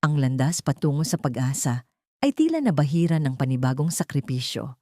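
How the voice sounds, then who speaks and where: A woman's voice: deep voice, darkish tone, dry recording, clean studio sound. A woman narrating a scripted audiobook story in a solemn, dramatic manner.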